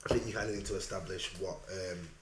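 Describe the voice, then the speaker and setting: A man's voice: low-pitched, fairly even intonation, fast. A man with a Sheffield accent, a rapper chatting casually in an interview, laid-back and full of fillers. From Sheffield, England. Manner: laid-back, lots of fillers and repeated "obviously". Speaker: rapper guest. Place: recording studio. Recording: roomy studio with faint noise.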